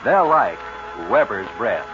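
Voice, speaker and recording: A man's voice: lowish voice, big pitch swings, slow. A man reading a scripted 1940s radio advertisement with a polished sales delivery. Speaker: commercial announcer. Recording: muffled vintage radio with loud music. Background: music.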